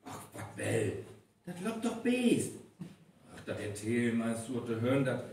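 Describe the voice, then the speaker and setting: A man's voice: mid-range voice, sing-song, measured pace, vocal fry, a little mellow. A man with a North Frisian accent playing a grumbling, reluctant local in a live radio play. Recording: very echoey live stage, distant, faint noise.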